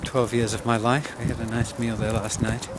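A man's voice: medium pitch, little pitch movement, normal speed. A man vlogging his own wedding day with a phone at arm's length, chatty and rambling, his voice a bit worn. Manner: rambling and chatty, many fillers. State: losing his voice. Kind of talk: casual handheld vlog. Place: park outdoors, later busy restaurant. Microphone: phone camera at arm's length. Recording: loud background noise and crowd.

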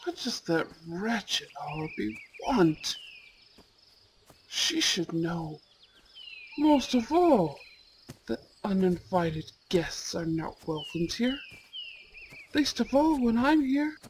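A woman's voice: mid-range voice, very animated, takes it slowly, long pauses, a little hiss or hum. A woman voicing a haughty, possessive young bad-boy school prince, formal and imperious, in an ASMR boyfriend roleplay. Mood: irritated. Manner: imperious, formal, clipped disdain. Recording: faint cricket and nature ambience.

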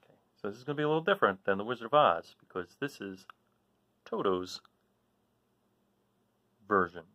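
A man's voice: on the low side, expressive, pushed and bright, no room sound. A man in his forties explaining a children's book as a read-aloud host, often correcting himself. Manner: halting, self-correcting. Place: small room at home, indoors. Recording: dull lo-fi device microphone.

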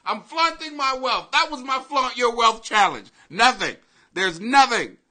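A man in his forties hosting a casual live webcam show from his home basement, talking loosely with swearing, loud bursts and laughing asides. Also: very high-pitched, animated, measured pace. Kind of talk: casual profane live commentary. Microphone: webcam at desk.

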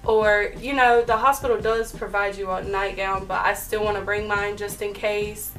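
A heavily pregnant young woman co-hosting a casual couple's vlog from a bedroom, talking in a soft, chatty way. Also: mid-range voice, fairly even intonation, moderate pace, distant mic, soft background music, poor audio. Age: young adult. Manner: soft-spoken, chatty, with light laughs and fillers. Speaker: vlog co-host. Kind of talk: casual chat. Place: bedroom, indoors.

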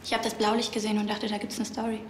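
An actress playing a pushy local reporter hunting for a story in a TV crime comedy. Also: female voice, mid-range voice, monotone, racing, lightly acted. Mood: insistent. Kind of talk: naturalistic TV drama dialogue. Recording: echoey corridor, faint background noise.

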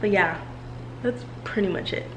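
A woman's voice: mid-range voice, moderate pace, noisy background. A woman in her twenties chatting casually to a handheld camera in her apartment, upbeat and slangy, laughing often. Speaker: vlogger. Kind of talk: casual vlog chat to camera. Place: apartment at home, indoors. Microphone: handheld camera at arm's length.

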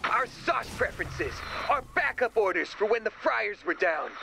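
An actor playing an excitable, gullible, earnest young man in an animated video game, melodramatic in delivery. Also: sits high, normal speed, creaky, background noise, heavily acted. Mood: anguished.

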